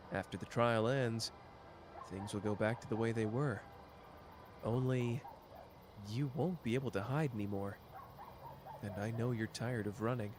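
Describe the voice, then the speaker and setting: A man's voice: medium pitch, background noise, lightly acted. A man voicing a playful, theatrical young man who teases and plays up his suffering, in an ASMR roleplay. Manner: soft, teasing and theatrical.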